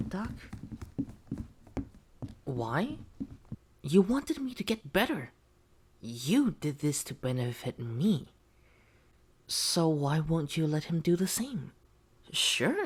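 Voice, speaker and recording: A woman's voice: deep voice, breathy edge, heavily acted. A woman playing a gullible, eerily devoted test subject in a horror audio roleplay, pleading yet menacing with false sweetness. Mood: taunting.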